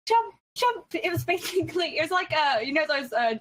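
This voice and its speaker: A woman's voice: very high-pitched, sing-song, a little fast, fairly bright tone. A woman chatting casually as a panelist on a podcast. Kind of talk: casual group discussion.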